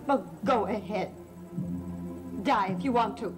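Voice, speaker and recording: A woman's voice: medium pitch, a little slow, music in the background, lightly acted. An actress playing a frightened, haunted young woman in a gothic soap opera, pleading with breathless dread. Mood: agitated, desperate. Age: young adult.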